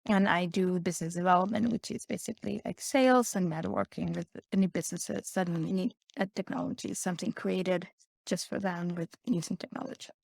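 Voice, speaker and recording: A woman from Peru in her thirties, a podcast guest talking in a relaxed way full of "like" fillers. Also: on the low side, fairly quick, gravelly, dry recording. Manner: chatty, with frequent "like" fillers. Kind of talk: conversational interview.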